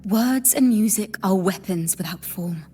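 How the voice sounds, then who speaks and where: A woman voicing a thoughtful, inquisitive young female bard in a video game, speaking softly and in a measured, reflective way. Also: mid-range voice, a little hiss or hum, close to an everyday voice. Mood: pensive, grave. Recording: music behind the voice.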